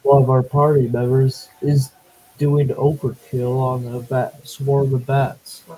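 A man's voice: medium pitch, little pitch movement, takes it slowly, warm tone, low-quality recording. A male tabletop game player chatting casually during play.

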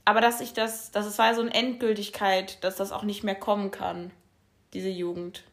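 A young woman talks casually on a podcast, thinking aloud as she goes. She uses lots of fillers and works her way toward each point. Her voice sits at a middle pitch. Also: flat delivery, normal speed. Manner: thoughtful, searching, many fillers. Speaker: podcast co-host. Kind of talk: casual chat.

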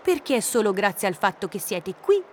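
An actress playing a playful, stubborn, irreverent woman guide in an audio drama, animated and theatrical in an argumentative way. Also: mid-range voice, a little fast, a little hiss or hum, natural voice. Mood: insistent. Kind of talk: naturalistic audio drama dialogue.

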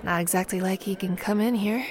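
An actress playing a weary, loyal traveling companion in a radio drama, with a dry, casual, understated delivery. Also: female voice, mid-range voice, steady tone, fairly quick, darkish tone, close to an everyday voice. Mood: wry, tired.

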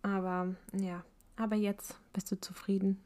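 A woman's voice: medium pitch, flat delivery, a little mellow. A young woman interviewing a guest in casual talk, encouraging and often murmuring agreement. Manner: encouraging, quick to nod along. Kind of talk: casual conversation.

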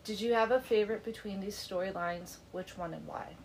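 A woman's voice: mid-range voice, a little fast, a little hiss or hum, low-quality recording. A middle-aged woman with a congested voice, hosting a chatty book club podcast from her home basement and laughing easily. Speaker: podcast host. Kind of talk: casual book club chat.

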